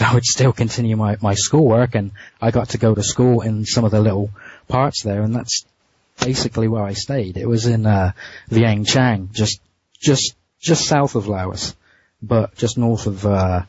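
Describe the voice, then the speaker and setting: A man being interviewed, telling a story in a casual, halting way with frequent restarts and repeated words. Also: on the low side, fairly even intonation, darkish tone, slightly rough, dry recording. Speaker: interview guest. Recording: muffled dry lo-fi line.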